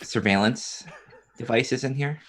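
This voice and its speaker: A man's voice: mid-range voice, unhurried, fairly bright tone. A man playing a tabletop roleplaying game, speaking casually in character.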